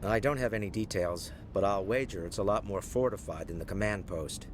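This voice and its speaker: A man's voice: on the low side, fairly quick, background noise, natural voice. A man playing the earnest leader of an embattled frontier colony in a video game, with a formal, courteous manner. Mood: weary, earnest. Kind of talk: video game dialogue acting.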